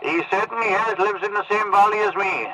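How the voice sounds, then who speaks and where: A man's voice: high-pitched, brisk. A man running a casual CB radio net, talking in a rambling, hesitant way over a radio transmission. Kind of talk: casual amateur radio chat. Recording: very noisy CB radio reception.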